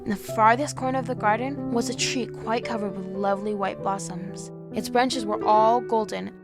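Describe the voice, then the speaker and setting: A woman as a gentle storybook reader. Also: mid-range voice, steady tone, normal speed, lightly acted. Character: young woman reading a fairy tale aloud. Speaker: storyteller within the drama. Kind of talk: audio drama. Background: music.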